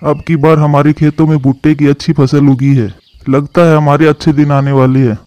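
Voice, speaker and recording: A humble farmer pigeon from a cartoon moral tale, voiced by a man. His voice is dark and fairly monotone, plain and earnest, recorded very dry. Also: a little high, normal speed, no room sound, lightly acted. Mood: hopeful. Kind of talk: Hindi cartoon moral story acting.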